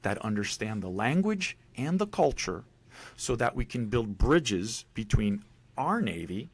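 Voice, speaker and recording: A man's voice: on the low side, a little slow, breathy edge. A middle-aged man from Puerto Rico with a Puerto Rican accent, a native Spanish speaker telling stories emphatically as a podcast guest. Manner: animated, emphatic storytelling. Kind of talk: casual storytelling interview.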